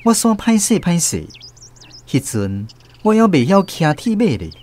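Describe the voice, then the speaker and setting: A man's voice: high voice, wildly swooping pitch, moderate pace, a little mellow, exaggerated voice. A man playing a storyteller who recalls his naive country boyhood, narrating a radio drama with a Taiwanese accent. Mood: reflective.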